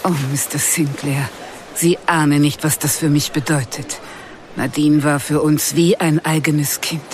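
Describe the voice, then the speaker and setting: An actress playing an older, grieving aunt in an audio drama, speaking politely and hesitantly. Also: female voice, deep voice, steady tone, unhurried, a little mellow, slight background noise. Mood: hesitant, moved. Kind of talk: audio-play acting.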